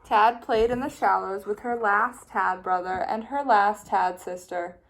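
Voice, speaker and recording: A woman teacher reading a children's picture book aloud with expressive, playful storytelling. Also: mid-range voice, a little slow, rough recording.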